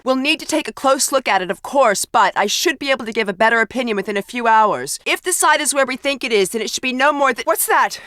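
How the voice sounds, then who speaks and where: A woman's voice: on the high side, a bit bright, no room sound, clean studio sound, lightly acted. An actress playing an earnest, composed middle-aged woman physicist in a video game, speaking precisely and a little formally. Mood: hushed urgency. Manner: precise, articulate, slightly formal. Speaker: scientist character in a video game. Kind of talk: scripted video game voice acting.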